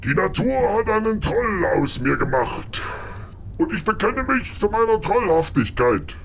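A man's voice: sits high, sing-song, unhurried, slight background noise, full character voice. A man playing a proud, pompous troll in a fairy-tale parody radio play, speaking in stilted, formal phrases. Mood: pompous, menacing. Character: male troll, proud, hungry, pompous, guilt-ridden.

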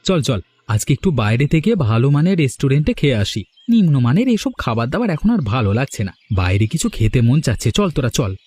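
A man's voice: mid-range voice, big pitch swings, fast, few pauses, darkish tone, breathy edge. A man voicing a boastful, arrogant young male college student in a cartoon. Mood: breezy. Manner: arrogant, condescending.

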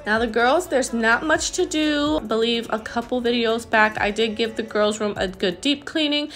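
A woman's voice: on the high side, normal speed, a bit bright, soft background music. A woman in her thirties, a stay-at-home mom, giving a casual, upbeat voice-over about cleaning her house, with small laughs.

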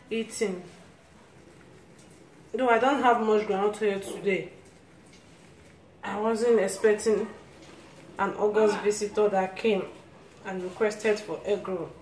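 A woman's voice: mid-range voice, fairly even intonation, slow pace, pauses often, a little mellow. A woman with a Nigerian accent giving a casual, step-by-step cooking demonstration as a home cook. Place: small tiled home kitchen, indoors. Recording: roomy, noisy home kitchen phone recording.

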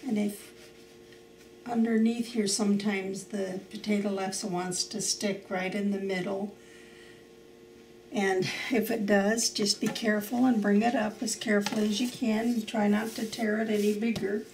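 An older woman showing how to cook in her home kitchen, explaining each step in a slow, homely way. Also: mid-range voice, level tone, darkish tone, distant mic, low-quality recording. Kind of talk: cooking demonstration.

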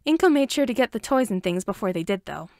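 A woman's voice: medium pitch, lively intonation, fast, a little mellow, no room sound, clean studio sound. A woman reading a story aloud as an amateur audiobook narrator, in scripted narration.

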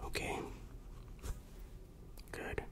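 An ASMR performer in a breathy whisper, playing a bossy, secretive underground dealer in a hushed roleplay. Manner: slow, hushed, secretive delivery with repeated phrases.

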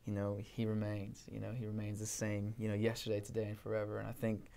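A young man from Canada with an accent mixing Canadian and English, chatting softly and rambling with many fillers in a small studio room. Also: lowish voice, monotone, quick pace, vocal fry. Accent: Canadian mixed with English. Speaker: guest singer-songwriter. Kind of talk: casual chat, then singing with acoustic guitar.

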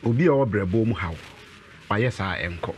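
A Ghanaian man in his thirties, an herbal medicine representative, explaining with animated gestures in a studio interview. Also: lowish voice, normal speed, slight background noise. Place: TV and radio studio.

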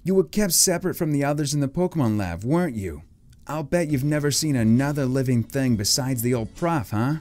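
A performer voicing a gruff, weary old male professor who scolds and lectures but can be kindly. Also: mid-range voice, wildly swooping pitch, moderate pace, pitched above the performer's own voice. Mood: soothing.

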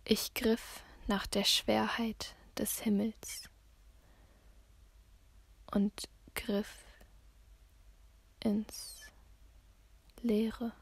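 Very slow, breathy woman reciting poetry. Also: mid-range voice, level tone, dry recording. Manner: hushed, breathy, near-whispered delivery; measured, with long pauses between short phrases. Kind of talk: spoken-word poetry reading, slow and sparse.

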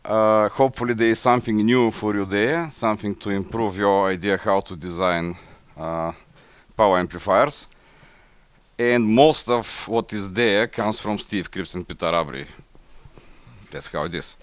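A middle-aged man with a Bulgarian accent gives a technical slide presentation, speaking haltingly with frequent pauses. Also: fairly low pitch. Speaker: conference presenter, engineer. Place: indoor forum room, seated at a table before a banner.

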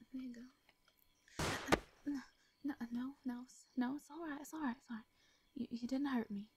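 A woman plays a shy, tender hermit farmer woman with a Southern drawl in a storytelling audio roleplay. She talks softly with little stammers and light laughs, in a slightly high, breathy voice. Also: steady tone, moderate pace, dry recording. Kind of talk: soft comforting audio roleplay.

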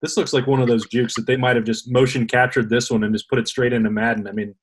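A man in his thirties with a middle-pitched voice hosts a sports talk show over a video call. He chats easily, tees up questions and introduces the tape. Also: level tone, fast talker, breathy edge. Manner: conversational, sets up questions and clips. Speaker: host, sports reporter. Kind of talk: casual sports talk interview.

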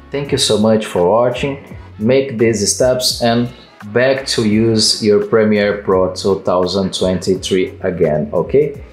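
Brazilian man with a low, roomy voice. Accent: Brazilian Portuguese. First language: Portuguese. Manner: halting non-native English with many fillers. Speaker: tech tutorial host. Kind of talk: screen-recorded software tutorial. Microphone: headset.

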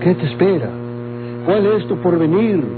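An actor with a Mexican accent playing a grave, protective older father in a radio drama, deliberate and folksy. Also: sits high, noisy background. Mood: grave, disapproving. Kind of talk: golden-age Mexican radio drama acting. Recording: tinny old radio recording, music behind.

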